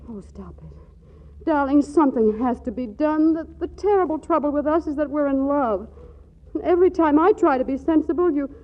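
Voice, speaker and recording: An actress playing a restless, exasperated but loving young wife in a 1940s radio comedy, with fluttery, stammering delivery. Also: female voice, high voice, normal speed, lightly acted. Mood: flustered, tender. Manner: flustered, fluttery, stammering repeats. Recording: dull 1940s radio broadcast recording.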